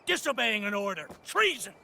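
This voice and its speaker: An actor playing a pompous, outraged older American army colonel in a video game, speaking in an imperious, indignant way. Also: male voice, high-pitched, big pitch swings, normal speed, creaky, sharp tone, a little hiss or hum, heavily acted. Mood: outraged, accusing. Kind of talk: dramatic video game cutscene acting.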